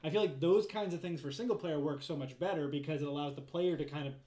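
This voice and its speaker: A man with a somewhat high voice talks casually among fellow panelists on a gaming show. He explains his views at length and states them as opinions. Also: quick pace, warm tone. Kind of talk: casual panel discussion about games.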